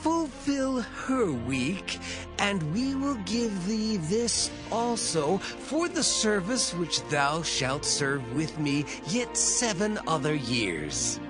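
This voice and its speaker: Man playing a slow, swooping biblical patriarch. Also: high-pitched, very animated, over music, heavily acted. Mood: firm, bargaining. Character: Laban, older biblical patriarch, deliberate and shrewd. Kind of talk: dramatized scripture acting.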